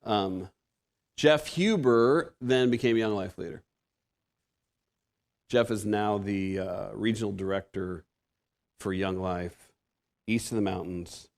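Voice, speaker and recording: Man, 50s, Pacific Northwest American accent, gravelly, low voice, casual sermon. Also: steady tone, takes it slowly, long pauses, darkish tone. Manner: conversational, self-deprecating, with frequent restarts and fillers. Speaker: guest preacher. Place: church sanctuary.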